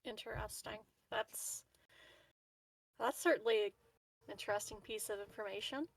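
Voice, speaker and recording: A woman's voice: medium pitch, moderate pace, husky, rough recording. A woman playing a tabletop roleplaying game, thinking aloud in loose, casual, tentative conversation with the group.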